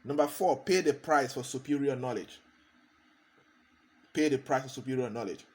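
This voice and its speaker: A man's voice: mid-range voice, moderate pace. A man, a consultant giving a motivational talk, hammering points home by repeating key phrases. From Cameroon.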